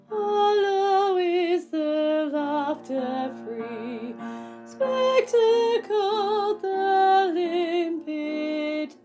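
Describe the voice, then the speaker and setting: A woman sings in a light opera style with a very high voice. Her phrasing is extremely slow and sustained, and music plays clearly behind her. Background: musical accompaniment.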